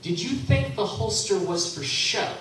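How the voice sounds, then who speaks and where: An actor playing a decisive tinker leading the townsfolk in a live radio play, brisk and commanding. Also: male voice, high voice, animated, fairly bright tone. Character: decisive tinker, steady leader. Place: convention hall. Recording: very echoey hall, from far off, noisy.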